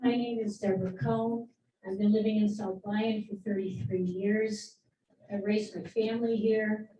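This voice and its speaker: Middle-aged woman reading out a comment, mellow voice, far off from the mic in an echoey room. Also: mid-range voice, moderate pace, lo-fi sound. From Michigan. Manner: earnest, steady advocacy speech. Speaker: public commenter. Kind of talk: prepared public comment at a meeting.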